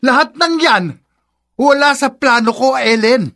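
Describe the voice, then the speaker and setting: High-voiced, callous young Filipino husband. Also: male voice, measured pace, sharp tone, heavily acted. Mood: angry, blaming. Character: callous, hot-tempered young husband, dismissive and threatening. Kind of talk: Filipino radio melodrama acting.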